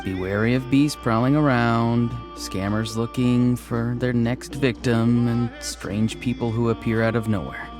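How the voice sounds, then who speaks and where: An actor plays an earnest young man with a mid-range voice. He is mild, apologetic and quaintly formal, as in a story-driven video game, over soft music. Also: mid-range voice, steady tone, measured pace, few pauses, natural voice. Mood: explaining.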